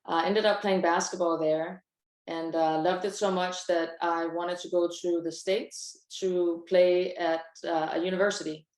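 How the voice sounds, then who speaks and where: A woman from Denmark, a basketball referee, presenting on a video call in a composed, steady way with soft pauses. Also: fairly low pitch, echoey room, low-quality recording. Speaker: basketball referee, webinar speaker.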